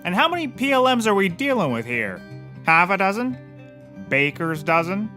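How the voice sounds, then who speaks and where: Male actor as brash bumbling gumshoe: high, bright, swooping delivery, comic hard-boiled patter. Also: sing-song, normal speed, faint music behind, heavily acted. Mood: excited. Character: brash, excitable bumbling private eye. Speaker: actor in scripted comedy series. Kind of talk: comedic mock-noir detective slang acting.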